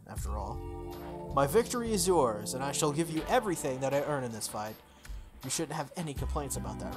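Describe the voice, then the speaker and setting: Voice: mid-range voice, lightly acted. A game player voicing a sardonic male servant warrior who explains things in a condescending, lecturing way. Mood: smug. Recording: music under the voice.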